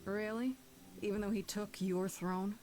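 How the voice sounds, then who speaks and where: An actress playing a determined, blunt young mortal heroine in a video game, earnest and questioning. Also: female voice, medium pitch, steady tone, a little slow, a little mellow, lightly acted. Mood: skeptical. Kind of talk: dramatic video game voice acting. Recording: music under the voice.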